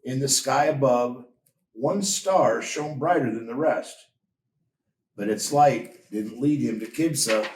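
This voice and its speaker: A man reading a story aloud in a measured, expressive storytelling manner. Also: medium pitch. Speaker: story reader. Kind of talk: scripted storybook narration. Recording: roomy echo, distant from mic.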